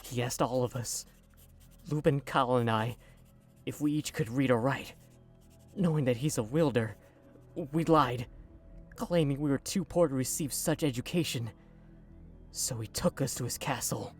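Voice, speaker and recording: A man acting a traumatized young fugitive in an audio drama, speaking haltingly and hesitantly over music. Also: mid-range voice, close to an everyday voice. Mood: weary, subdued. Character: traumatized shape-shifting fugitive lad, Lamar, recalling captors. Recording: music under the voice.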